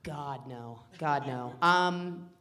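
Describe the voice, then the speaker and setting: Woman, 30s, low-pitched director, rambling and animated, full of fillers. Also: very slow. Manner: rambling, many fillers and restarts, animated quoting. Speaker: film director. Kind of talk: casual panel chat. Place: festival cafe stage.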